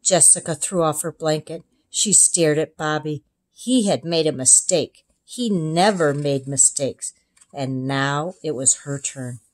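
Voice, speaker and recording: A woman narrating a children's storybook as a kindergarten teacher, expressive, in clearly separated phrases. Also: sits low, a little slow. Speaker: kindergarten teacher reading aloud. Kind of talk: storybook read-aloud narration.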